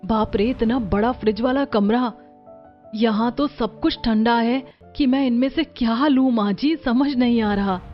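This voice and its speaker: A woman's voice: on the high side, a little slow, highs cut off, faint music behind, lightly acted. A woman voicing a sweet, grateful, emotional young daughter-in-law in a cartoon story. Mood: puzzled, amazed.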